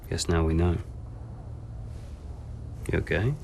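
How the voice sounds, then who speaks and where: A man's voice: low voice, slow, creaky, a little mellow, a little hiss or hum, heavily acted. An actor playing a burdened, dry male racing team boss in an understated, natural game cutscene style. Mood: subdued, troubled.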